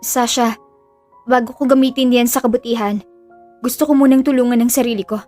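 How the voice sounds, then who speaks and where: A woman's voice: a little high, fairly even intonation, brisk, a little mellow, close to an everyday voice. A woman playing an earnest, burdened young woman in an audio drama, confiding in a subdued way with a Filipino accent. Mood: serious. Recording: music under the voice.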